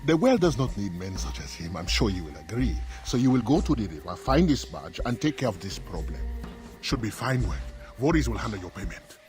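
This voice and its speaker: A low male voice over faint music. a calculating warlord boss in a video game, measured and formal, with cold menace and command. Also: very animated. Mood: cold, menacing. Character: calm, calculating African warlord boss giving orders, coldly menacing. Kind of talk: video game cutscene acting.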